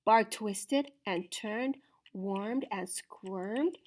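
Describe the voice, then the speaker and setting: A woman reading aloud to children in an expressive, dramatic, deliberate storytime style. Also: medium pitch. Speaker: storytime reader.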